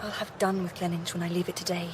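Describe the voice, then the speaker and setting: Actress as earnest, determined Victorian wife, noisy recording. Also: female voice, lowish voice, flat delivery, fast talker, natural voice. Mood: subdued, resolute. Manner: earnest, polite, intent. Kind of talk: radio drama acting.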